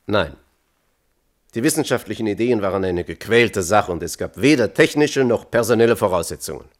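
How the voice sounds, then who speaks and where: An actor playing a thoughtful, conscience-troubled physicist testifying as a witness in a German radio play, measured and candid. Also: male voice, on the low side, animated, lightly acted.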